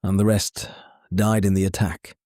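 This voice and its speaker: A man's voice: sits low, flat delivery, normal speed, darkish tone, no echo, studio quality, voice pushed up, close to an everyday voice. A man voicing a battle-hardened, terse and wary warrior in a fantasy audiobook. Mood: grim.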